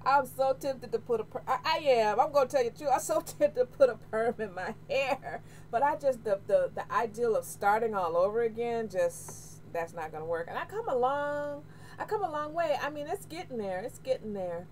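A middle-aged American woman chatting casually to camera, candid and expressive, repeating phrases for emphasis. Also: on the high side, moderate pace. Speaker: hair vlogger. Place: small room at home, indoors. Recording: noisy home webcam audio.

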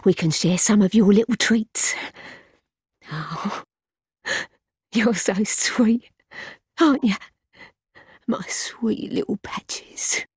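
A woman's voice: medium pitch, takes it slowly, long pauses, breathy edge, dry recording, clean studio sound, heavily acted. An actress playing a bitter, suspicious, half-mad old townswoman in a gothic video game, with a British accent and a scornful, muttering manner. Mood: crazed, cooing. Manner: scornful, muttering and scolding by turns.

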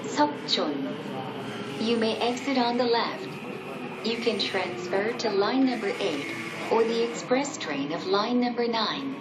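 Very slow woman announcer over loud train noise. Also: on the high side, lively intonation, echoey room. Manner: formal, deliberate. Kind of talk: scripted subway announcement in English. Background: moving train noise.

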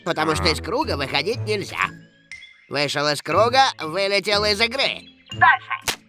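Актёр озвучивает в мультфильме эффектного ведущего конкурса, который театрально раздаёт задания. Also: male voice, sits high, animated, moderate pace, bright, forceful tone. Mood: dramatic narrating. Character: showy male contest host narrating challenges. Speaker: talent contest presenter. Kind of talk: animated cartoon dialogue. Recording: music behind the voice.

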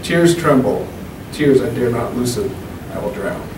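A man in his thirties reading poems at an open mic into a handheld mic, slow and deliberate, with casual asides between poems. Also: medium pitch, lively intonation. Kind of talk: poetry reading. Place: small room at a podium. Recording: echoey room, camera from far off, hum.

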